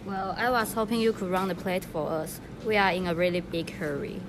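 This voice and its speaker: A woman playing an eager, hurried young police officer in an amateur radio play. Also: lowish voice, fairly even intonation, lightly acted. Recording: noisy amateur room recording.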